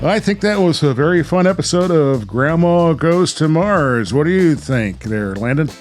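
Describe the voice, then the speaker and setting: A man's voice: fairly high pitch, animated, moderate pace, soft background music. Rambling, jokey male podcast host. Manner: jokey, self-aware. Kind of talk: casual, rambling podcast chat.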